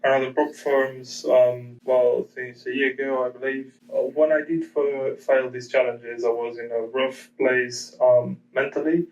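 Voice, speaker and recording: A man's voice: mid-range voice, level tone, unhurried, hardly any pauses. Hesitant man on a dull, distant mic. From Portugal. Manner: hesitant, many ums and restarts. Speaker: guest trader. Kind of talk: casual interview answers.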